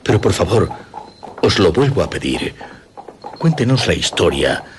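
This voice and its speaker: A man with a Castilian Spanish accent playing a formal, courteous but insistent gentleman in a radio drama. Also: deep voice, very animated, unhurried, slight background noise. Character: courteous, eager gentleman listening to an old friend.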